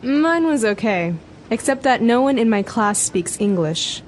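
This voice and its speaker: A woman reading a scripted student dialogue in a measured, slightly doubtful way. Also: on the high side, animated, a little hiss or hum.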